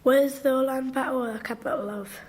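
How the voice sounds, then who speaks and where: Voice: high voice, steady tone, moderate pace, lightly acted. A performer playing an eager, naive working-class schoolboy in a radio drama, with a Geordie accent and an earnest, questioning way of talking. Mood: eager, curious.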